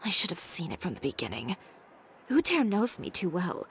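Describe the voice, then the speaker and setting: A woman's voice: lowish voice, wildly swooping pitch, unhurried, a little hiss or hum, full character voice. An actress playing a proud, determined female fashion designer in a video game, speaking in a composed, clipped manner. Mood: somber. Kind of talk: scripted video game voice acting.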